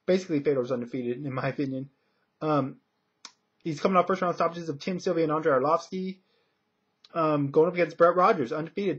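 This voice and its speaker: Guy doing a casual fight predictions vlog, kinda high husky voice, halting, muffled webcam sound. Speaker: fight commentator and video blogger. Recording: dull lo-fi webcam audio.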